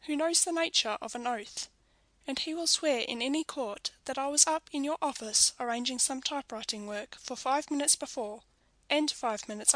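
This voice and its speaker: A woman playing a coolly wicked young woman in an audiobook drama, speaking with measured, defiant calm. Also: a little high, dry recording, lightly acted, low-quality recording.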